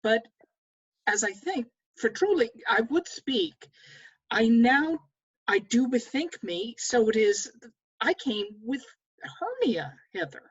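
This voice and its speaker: A woman's voice: fairly high pitch, sing-song, takes it slowly, breathy edge, heavily acted. A woman playing a dazed, apologetic young man in love, reading Shakespeare verse hesitantly over a slightly dull video call. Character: dazed, apologetic young male lover, half awake. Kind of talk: Shakespeare table read.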